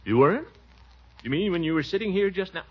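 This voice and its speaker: An actor playing a blustering, pompous middle-aged uncle in a 1940s radio comedy, booming and chuckling. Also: male voice, lively intonation, fast. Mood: scolding. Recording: dull 1940s radio broadcast.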